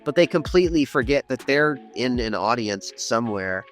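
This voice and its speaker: A man consulting on advertising, explaining in a relaxed, conversational way. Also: mid-range voice, normal speed, faint music behind. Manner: relaxed, explanatory, uses y'all. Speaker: paid traffic consultant. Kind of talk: casual business conversation.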